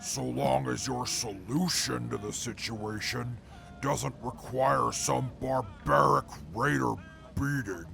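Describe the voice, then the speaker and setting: Casting: actor as haughty wasteland crewman, pompous, slow, heavy vocal fry, radio drama. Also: mid-range voice, fairly bright tone, faint music behind, heavily acted. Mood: disdainful. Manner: pompous, formal wording. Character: haughty, disdainful, pompous male wasteland crew member. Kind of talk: audio drama acting.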